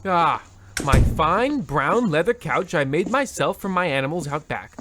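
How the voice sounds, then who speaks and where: A man's voice: fairly high pitch, lively intonation, unhurried, noisy background. An actor playing a pompous, overwrought husband half out of his wits, theatrical and florid, in a comedic old-time radio play. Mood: weary, grandiose.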